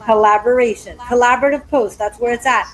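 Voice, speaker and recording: A woman's voice: a little high, a little fast, poor audio. A woman hosting a live talk show, upbeat and chatty, speaking directly to her studio and online audience.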